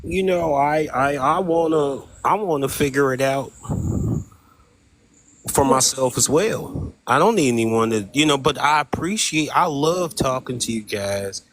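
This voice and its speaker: A man's voice: medium pitch, animated, a little slow, a bit bright, rough recording. A man moderating a live-stream discussion, talking hesitantly with many restarts and a deferential tone. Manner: hesitant, repeats words, deferential.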